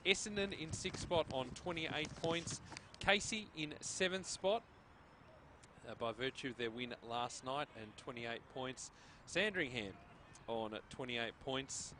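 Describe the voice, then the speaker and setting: A man's voice: mid-range voice, bright, forceful tone, a little hiss or hum. A middle-aged Australian man hosting a football broadcast, chatting and joking before reading out scores and ladder standings. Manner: chatty, jokey, reads out scores. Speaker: sports broadcast host.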